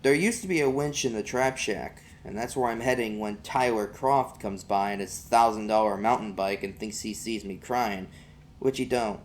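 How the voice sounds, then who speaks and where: A man's voice: on the low side, moderate pace, a little hiss or hum. A man reading an audiobook as an amateur, narrating in the first person in a folksy vernacular.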